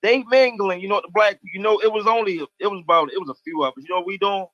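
A man's voice: high-pitched, fast, a bit bright. A man in casual chat, telling a story in a loose, conversational way. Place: studio in Baltimore. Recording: dull lo-fi sound, dry.

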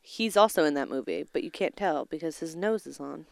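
A woman's voice: deep voice, animated, a little fast, gravelly, no echo. Young woman with a slightly closed-off nasal voice. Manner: rambling, dry humor. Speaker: podcast co-host. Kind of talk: casual chat.

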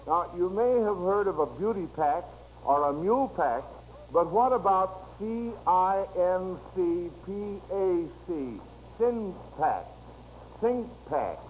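A man's voice: high-pitched, expressive, slow. A man with an American accent hosting an old-time radio quiz show, folksy and chatting to the listeners as friends. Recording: noisy vintage radio broadcast recording.

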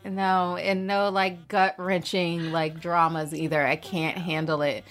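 A woman's voice: on the low side, little pitch movement, a little slow. A woman co-hosting a podcast in casual chat, speaking in a blunt, sardonic way and swearing freely. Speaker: podcast co-host.

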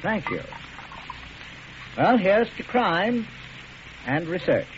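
An actor playing a genteel, professorial doctor turned criminal, polite and wordy, in a 1940s radio drama. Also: male voice, high-pitched, sing-song, takes it slowly, heavily acted. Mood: suave, pleased. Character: genteel, erudite male doctor posing as cool criminal. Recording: dull, noisy old radio recording.

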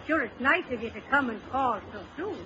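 High-voiced sharp-tongued radio wife. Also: female voice, animated, fairly quick. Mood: polite, flustered. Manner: chiding, falsely genteel. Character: sharp-tongued homey wife, polite to company, scolding her husband. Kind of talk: old-time radio comedy acting. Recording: muffled, noisy radio recording with audience.

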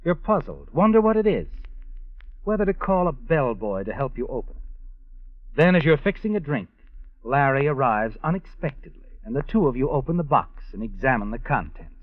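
A man's voice: mid-range voice, big pitch swings, moderate pace, no room sound. An actor playing an ominous, knowing male narrator who insinuates through a 1940s radio suspense drama. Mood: needling, suspenseful. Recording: muffled old radio recording with music.

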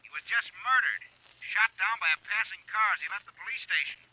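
An actor playing a brisk, excited newspaper correspondent calling in through a telephone filter in an old-time radio drama. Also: male voice, high voice, full character voice. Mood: urgent. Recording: muffled vintage radio recording.

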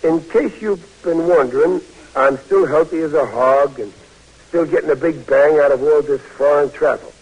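An actor playing a big, good-natured, bashful young army private, talking in a folksy, stammering way in 1940s radio drama. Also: male voice, fairly high pitch, normal speed, a little mellow, breathy edge, rough recording. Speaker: soldier.

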